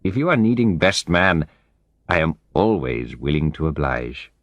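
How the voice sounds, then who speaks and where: A man's voice: rumbling low, animated, a little slow, no room sound, muffled recording. A man reading the letter of an eager businessman, earnest, persuasive and slightly formal.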